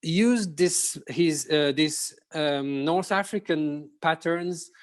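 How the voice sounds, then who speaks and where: A man's voice: fairly high pitch, takes it slowly. A man from Morocco, a guest pianist in a casual interview, who talks hesitantly and searches for words with many fillers.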